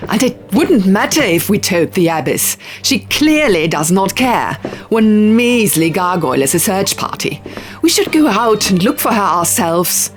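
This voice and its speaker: A woman's voice: medium pitch, sing-song, measured pace, faint music behind, pitched above the performer's own voice. A woman voicing quarrelling young female diviners in an audiobook, indignant and urgent, arguing heatedly. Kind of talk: audiobook character dialogue.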